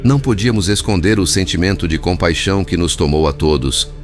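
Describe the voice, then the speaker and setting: A man reading scripted audiobook narration in a formal, measured way. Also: low voice, lively intonation. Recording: music bed behind narration.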